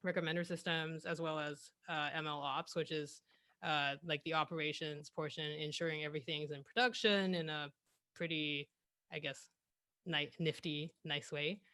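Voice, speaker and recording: Bright, chatty young woman on a call. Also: deep voice, steady tone, normal speed, no room sound. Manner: chatty, self-correcting, laughs often. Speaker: guest speaker. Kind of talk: presenting over a video call. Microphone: headset on a video call.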